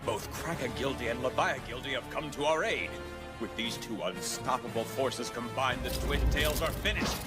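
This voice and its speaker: An actor playing an anxious, formal monster officer in an English anime dub, with theatrical delivery. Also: male voice, mid-range voice, moderate pace, loud music behind the voice, lightly acted. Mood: startled, excited. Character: anxious male monster commander, formal peacemaking villain officer.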